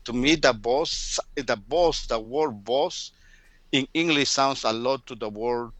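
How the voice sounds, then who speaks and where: A man's voice: mid-range voice, takes it slowly, a bit bright, no echo. A man in his fifties from Guatemala, speaking English with a Spanish accent as an interview guest, sometimes repeating words with a mild stutter. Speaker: guest storyteller. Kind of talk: casual interview talk. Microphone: remote call.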